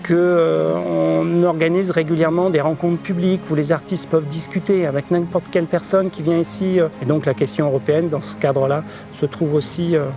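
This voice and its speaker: A man from an art centre explaining its work in a measured way, with occasional hesitations. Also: fairly high pitch, level tone. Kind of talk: explanatory interview. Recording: music bed under voice.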